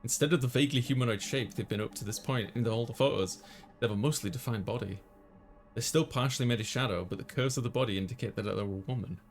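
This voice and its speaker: A man's voice: mid-range voice, fast talker. A man reading a visual novel aloud as a let's player, narrating and chatting casually, with game music behind him.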